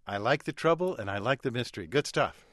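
A male radio host with a measured, conversational delivery. He is recorded dry and close. His pitch stays in an ordinary middle range. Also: lively intonation, dry recording. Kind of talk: public radio talk show hosting.

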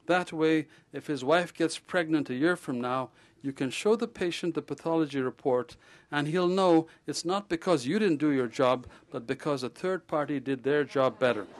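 A man voicing a blunt, jovial male surgeon who tells stories in a lively, teasing and theatrical way. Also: fairly high pitch, normal speed, hardly any pauses, pitched above the performer's own voice, natural voice. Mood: matter-of-fact.

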